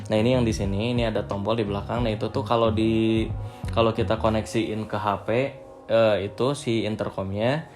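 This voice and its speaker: A man's voice: fairly low pitch, steady tone, brisk, a little mellow, faint music behind. A man giving a casual, off-the-cuff product review, hesitating often with filler phrases. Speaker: vlog host reviewing gear.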